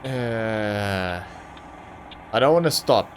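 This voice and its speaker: A man's voice: fairly low pitch, animated, drawn-out words, a little hiss or hum. A young man from Africa, an Afrikaans speaker, rambling through casual commentary on a truck driving game with plenty of ums and restarts. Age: young adult. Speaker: gaming channel host.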